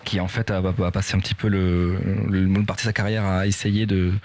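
A man co-hosting a music show, presenting an artist from his notes, halting with many fillers. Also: low voice, little pitch movement, darkish tone. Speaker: radio music show co-host. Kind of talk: casual presentation reading from notes.

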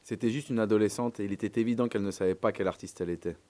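Guy playing a man remembering stuff for a radio doc, talks real fast, kinda low voice. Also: warm tone, lightly acted. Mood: matter-of-fact recollection. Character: male eyewitness recalling a young stage singer. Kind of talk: acted testimony in a radio documentary.

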